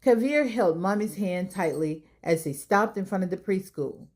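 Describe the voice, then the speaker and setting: A woman hosting a children's storytime, reading aloud in an expressive way as if talking straight to young listeners. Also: medium pitch, moderate pace. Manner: expressive, addressing children directly. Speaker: storytime reader and host. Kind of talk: children's read-aloud narration.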